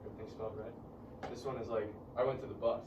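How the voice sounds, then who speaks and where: A woman's voice: a bass voice, steady tone, quick pace, lo-fi sound. A woman leading an informal classroom lesson as a teacher, casually checking understanding with short questions. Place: classroom, indoors. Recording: roomy, distant classroom recording with background noise.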